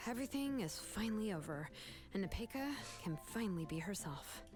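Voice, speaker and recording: An actress playing a calm, confident and caring young woman in a video game, measured and reassuring. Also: mid-range voice, over music, close to an everyday voice. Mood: reflective.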